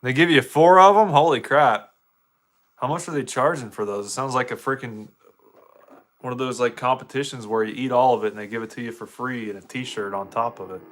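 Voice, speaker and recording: A man's voice: medium pitch, a little fast, fairly bright tone, slight background noise. An American man chatting casually with viewers on a live game stream, laid-back, slangy and joking.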